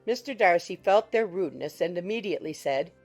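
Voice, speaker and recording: Low-voiced woman narrating a novel. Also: animated, a little fast. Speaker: audiobook narrator. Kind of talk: scripted literary narration. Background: soft music.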